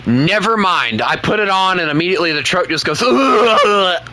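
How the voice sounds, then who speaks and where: Southern-accented man, game streamer, relaxed chat, slightly high voice. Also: fairly quick, a little hiss or hum, low-quality recording. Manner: relaxed, chatty, talks to viewers as he plays. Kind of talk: casual gameplay commentary.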